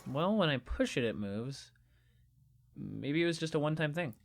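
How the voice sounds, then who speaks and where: Casting: actor as easygoing, inquisitive young skeptic, hesitant but highly animated in pitch, naturalistic audio-fiction dialogue. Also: moderate pace, vocal fry, a little hiss or hum. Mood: curious. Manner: hesitant, casual, questioning. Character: casual, curious, skeptical jobless young friend.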